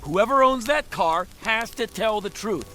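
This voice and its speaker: A man's voice: high-pitched, animated, a little slow, pushed and bright, faint music behind, heavily acted. An actor playing a rattled used-car salesman in a radio drama, with exasperated, agitated patter. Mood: horrified, emphatic.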